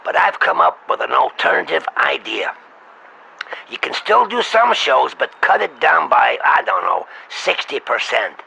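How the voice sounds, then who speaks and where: A man playing a pushy agent in a comic phone call, coaxing and crude as he pitches an idea. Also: very animated, unhurried, creaky, bright, forceful tone, slight background noise. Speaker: prank caller posing as an agent.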